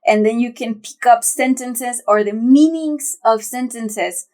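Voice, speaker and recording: A woman's voice: a little high, unhurried, a little mellow. A young woman co-hosting a podcast, chatting casually and thinking aloud, with lots of fillers and restarts. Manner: thoughtful, rambling with many fillers and restarts. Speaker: podcast co-host. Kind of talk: casual chat.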